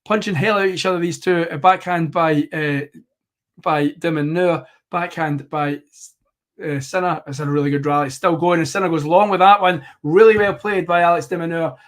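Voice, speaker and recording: A man hosting a live tennis watchalong, commentating play by play in a rambling, self-correcting way, slightly hoarse. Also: on the high side, fairly even intonation, moderate pace.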